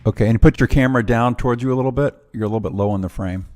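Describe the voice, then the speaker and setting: A man's voice: mid-range voice, very fast, a little mellow. A man producing the show, giving the guest practical instructions and muttering to himself while he fixes the setup. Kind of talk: technical setup talk.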